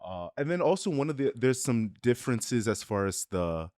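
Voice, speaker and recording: A man co-hosting a casual film review, talking haltingly and rephrasing as he thinks. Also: medium pitch, sing-song, a little mellow, no echo, clean studio sound. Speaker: review show co-host.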